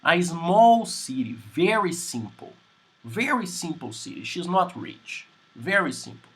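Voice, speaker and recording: A Brazilian man with a Portuguese accent teaching English on a video call, speaking in slow, simple sentences and repeating words. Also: high voice, sing-song, a bit bright, husky. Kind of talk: online English class conversation.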